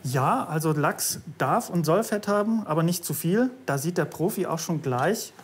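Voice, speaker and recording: A man's voice: a little high, animated, normal speed, breathy edge. A man explaining his work as a fish smoker in his small smokehouse, friendly and explanatory.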